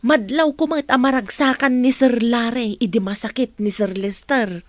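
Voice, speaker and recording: A woman playing a nosy, confiding housemaid in a radio comedy drama, with animated delivery. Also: fairly high pitch, moderate pace, no echo, lightly acted.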